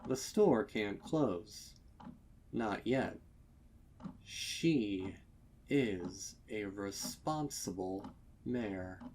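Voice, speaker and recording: Very slow, low-voiced male horror narrator. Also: expressive, a little mellow, a little hiss or hum. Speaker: fan fiction narrator. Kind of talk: dramatic horror story narration.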